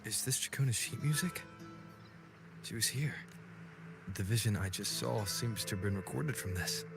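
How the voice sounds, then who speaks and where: A man's voice: on the low side, normal speed, vocal fry. A man voicing a young male hero in a video game, thoughtful and inquiring as he ponders clues. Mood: pondering. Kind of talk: game voice acting. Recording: game audio with faint music.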